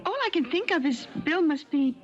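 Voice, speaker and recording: A woman's voice: sits high, animated, a little fast. An actress in a 1970s radio drama playing a nervous, loyal cashier who stammers as she defends her fiancé. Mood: anxious, defensive. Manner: flustered, stammering. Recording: dull 1970s radio broadcast, faint music.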